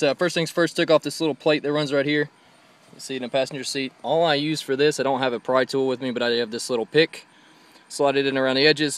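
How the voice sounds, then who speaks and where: A man's voice: mid-range voice, a bit bright, clear, resonant tone. Man giving a casual how-to walkthrough. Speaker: host of a DIY vehicle tutorial. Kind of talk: casual hands-on how-to narration. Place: in and around a parked car outdoors in daylight.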